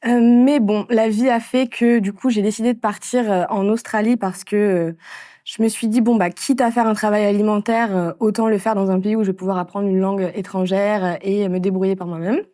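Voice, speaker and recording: A woman in her thirties from France, telling her story in a casual interview, with frequent pauses and fillers. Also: mid-range voice, steady tone, mellow, no echo, clean studio sound. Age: 30s. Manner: hesitant, many fillers and restarts. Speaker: interviewee, entrepreneur.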